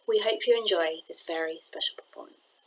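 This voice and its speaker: A woman's voice: lowish voice, a little fast, rough recording. A woman hosting a festival, giving a formal scripted introduction to a performance. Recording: faint background noise and ambience.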